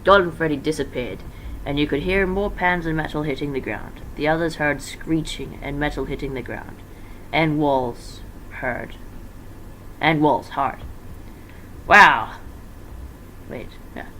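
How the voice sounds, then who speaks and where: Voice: mid-range voice, moderate pace, a bit bright. An amateur reader giving a casual dramatic reading, informal and stumbling, with self-correcting asides. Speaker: amateur fan fiction reader. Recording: noisy amateur home recording.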